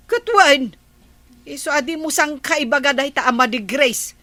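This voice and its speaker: A woman's voice: sits high, measured pace, bright, forceful tone, no room sound. A woman playing a village captain's nagging but caring wife, scolding and emphatic, in an Ilocano comedy radio drama, with an Ilocano accent. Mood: correcting.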